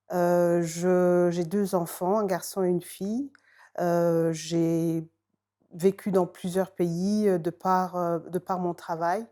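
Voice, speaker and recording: A woman from Rwanda introducing herself, measured and with many hesitations. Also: on the low side, warm tone. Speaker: older sister introducing herself. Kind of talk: spoken self-introduction.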